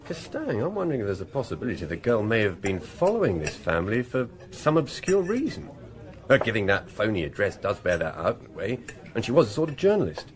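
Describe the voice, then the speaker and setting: An actor playing a reflective, methodical police investigator in a radio drama, thoughtful and slightly husky. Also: male voice, lowish voice, big pitch swings, quick pace. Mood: musing. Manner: thoughtful, speculative. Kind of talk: naturalistic British radio drama acting.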